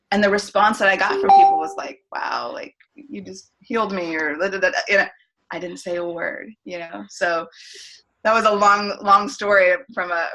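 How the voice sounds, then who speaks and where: Bright-voiced woman telling a story. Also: fairly low pitch, low-quality recording. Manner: reflective storytelling with many restarts. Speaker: guest, singer and voice coach. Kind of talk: casual podcast interview.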